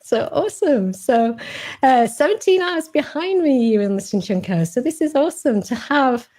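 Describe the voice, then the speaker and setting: A woman's voice: fairly high pitch, a little mellow. A woman with a Sheffield accent hosts a Facebook Live interview, talking over a video call. She is chatty and loose, full of fillers and false starts. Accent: Sheffield English. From England. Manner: chatty, with many fillers and restarts. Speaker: host.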